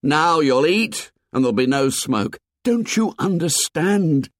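A man voicing a desperate, earnest schoolboy leader, pleading and shouting in a raised voice. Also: a little high, very animated, measured pace, dry recording, clean studio sound, voice pushed up. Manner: pleading, rising to angry shouts.

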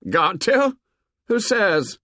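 Man doing a high, hostile shouting boy tribe leader. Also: very animated, very slow, dry recording, clean studio sound, full character voice. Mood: taunting. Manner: shouting, truculent. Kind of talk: audiobook character acting.

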